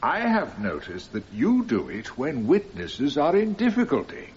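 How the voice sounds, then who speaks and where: A man's voice: medium pitch, huge pitch swings, unhurried, lo-fi sound, slight background noise. A man playing a stern, indignant judge with a reproving, emphatic manner in a radio courtroom drama.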